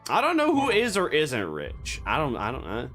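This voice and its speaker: A man streaming a game, rambling and joking in absurd deadpan banter. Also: a little high, normal speed, faint music behind. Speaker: VTuber streamer. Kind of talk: casual gaming stream chatter.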